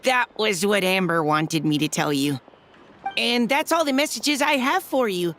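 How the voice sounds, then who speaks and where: A woman's voice: mid-range voice, animated, measured pace, a bit bright, slight background noise. A woman voicing an earnest, slightly flustered young knight courier in a video game, polite and hesitant. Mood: chatty, earnest. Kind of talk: anime-style video game voice acting.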